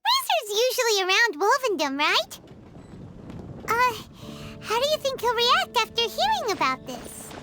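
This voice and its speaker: Tiny game fairy, extremely high squeaky childlike voice, perky, very animated, music behind. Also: female voice, unhurried, heavily acted. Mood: wondering. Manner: perky, refers to self in third person. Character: tiny excitable childlike floating fairy companion. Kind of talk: video game voice acting.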